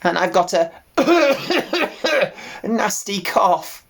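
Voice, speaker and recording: A gloomy old ewe in a storybook, drawling in a thick burr, as a man puts her on. The voice sits raised and slow, rising and falling broadly. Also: high voice, expressive, voice pushed up, exaggerated voice. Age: older. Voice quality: slow drawling rustic dialect speech. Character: old female ewe, mournful, slow, rustic. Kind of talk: audiobook character voice.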